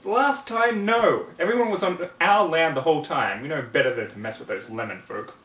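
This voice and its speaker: Guy doing a small country pony girl, earnest, high voice, bit far from mic. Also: brisk, a bit bright, close to an everyday voice. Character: earnest little country filly, younger sister. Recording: roomy, distant mic, faint noise.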